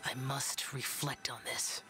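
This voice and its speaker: A man's voice: mid-range voice, level tone, takes it slowly, bright, forceful tone. A man voicing a brooding, youthful immortal warrior in a video game, terse, stern and solemn. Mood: sombre resignation. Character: brooding, solitary immortal young male demon slayer. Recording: game music behind the voice.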